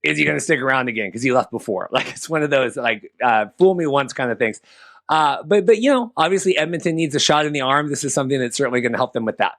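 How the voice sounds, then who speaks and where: A man's voice: mid-range voice, expressive, fast, a bit bright, dry recording. Man hosting casual sports talk, dry sound. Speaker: sports podcast host.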